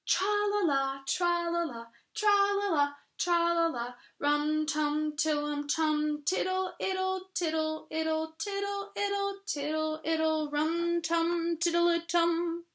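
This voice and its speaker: A woman's voice: extremely high pitch, steady tone, slow pace, few pauses, fairly bright tone, pitched above the performer's own voice, full character voice. A woman voicing a cheerful, simple, greedy male bear who hums in a sing-song way. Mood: humming.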